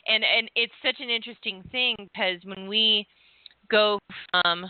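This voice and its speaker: A woman's voice: medium pitch, measured pace, dry recording. Rambling woman host on a tinny line. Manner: rambling, searching for words with many restarts. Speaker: podcast host and former hypnotist. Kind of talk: casual interview chat.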